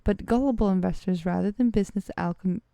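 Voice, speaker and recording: A woman reads aloud from a text in a smooth, mellow voice. She trips over words and corrects herself as she goes. The sound is close and dry. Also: mid-range voice, fast, dry recording. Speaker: vlogger reading a letter aloud.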